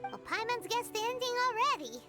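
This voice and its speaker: A tiny, childlike fairy girl with a piercingly high, squeaky voice. She is chirpy, cheeky and very lively, a video game sidekick with music playing behind her. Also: fairly quick. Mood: curious, cheeky. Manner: talks about herself in third person.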